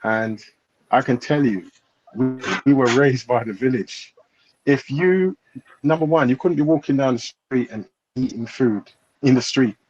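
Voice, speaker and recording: Husky man telling stories on a dull line. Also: medium pitch, measured pace, a little mellow, soft background music. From England. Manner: anecdotal, checks in with listeners, drops his voice then rises. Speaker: panel guest. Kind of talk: storytelling in a panel discussion.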